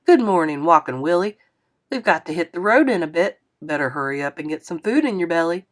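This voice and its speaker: A woman's voice: on the low side, lively intonation, brisk, close to an everyday voice. A woman voicing a jokey, easygoing older man who teases a young boy in a drawling, playful way. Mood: teasing.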